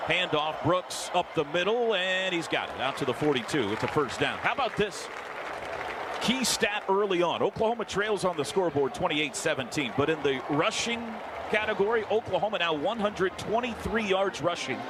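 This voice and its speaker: A man's voice: high voice, expressive, moderate pace. An American man calling a football game live on the radio as play-by-play announcer from a stadium booth. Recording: loud stadium crowd and booth noise.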